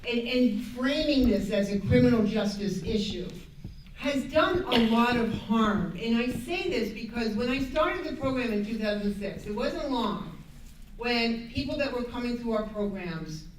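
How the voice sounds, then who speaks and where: An older American woman giving an earnest, emphatic, unscripted advocacy talk as a panel speaker, often halting and rephrasing as she goes. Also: on the high side, animated. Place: echoey indoor room at a public panel event. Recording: echoey room, distant mic, background noise.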